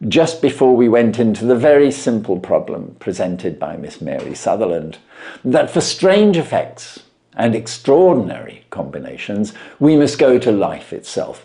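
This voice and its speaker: A man voicing a self-assured gentleman detective in an audiobook, formal, courteous and emphatically articulate. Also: on the low side. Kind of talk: audiobook character reading.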